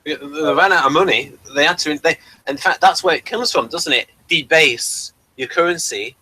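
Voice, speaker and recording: A man's voice: a little high, moderate pace, pushed and bright, poor audio. A man in casual discussion, explaining his opinions and repeating himself as he forms each point. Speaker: podcast reviewer and discussion panelist.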